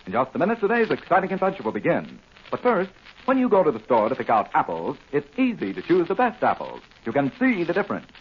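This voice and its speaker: A man with an American accent announcing in emphatic 1940s radio style, selling like a pitchman. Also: wildly swooping pitch, fast talker, slight background noise. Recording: dull 1940s radio recording.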